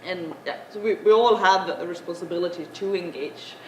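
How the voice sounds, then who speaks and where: Young woman giving a halting panel talk. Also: fairly low pitch. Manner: halting, many filler sounds. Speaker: panel speaker. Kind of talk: conference talk. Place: large conference room. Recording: echoey conference room, noisy.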